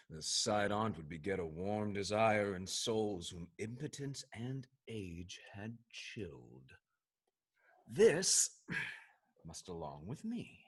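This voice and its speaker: A man's voice: lowish voice, husky, heavily acted. A man playing a lustful, roguish gallant in a period comedy, savouring his archaic theatrical lines. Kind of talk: period stage comedy audio drama.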